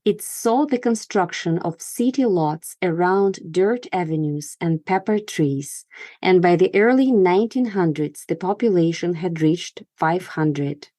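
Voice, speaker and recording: A woman podcast host with a lowish, mellow voice delivers scripted narration in a storytelling format. The sound is close and dry, with very little room in it. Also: expressive, unhurried, no echo.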